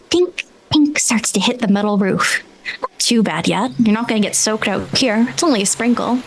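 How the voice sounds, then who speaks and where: A woman game master improvising vivid, deliberate narration for a tabletop role-playing game. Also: mid-range voice, rough recording. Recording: clear ambient noise with insect-like sounds.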